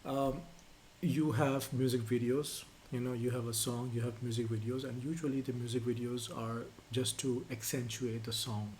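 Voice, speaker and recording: A native Urdu-speaking man co-hosting a song reaction, talking in a reflective, halting way and often repeating words. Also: mid-range voice, flat delivery, a little mellow, a little hiss or hum. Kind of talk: casual reaction chat.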